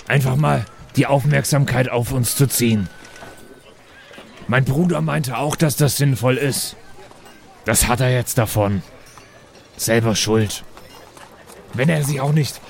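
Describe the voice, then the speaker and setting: A man playing a naive, sulky adventurer who mutters to himself in halting, childlike phrases, in an improvised fantasy audio play. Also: medium pitch, creaky, a little hiss or hum.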